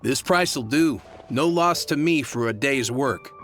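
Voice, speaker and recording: A man's voice: mid-range voice, measured pace, fairly bright tone, faint music behind, close to an everyday voice. An actor playing a shrewd male labourer for hire in a video game, curt and matter-of-fact. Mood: satisfied.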